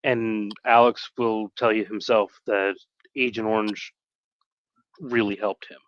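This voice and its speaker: A man chatting casually on a live stream in a halting, rambling way, often correcting himself. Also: on the low side, fairly even intonation, highs cut off.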